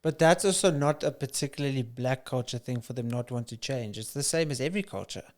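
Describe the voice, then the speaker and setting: A man's voice: medium pitch, quick pace. A man co-hosting a banter podcast, asking curious questions and musing reflectively. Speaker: podcast co-host. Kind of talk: casual banter.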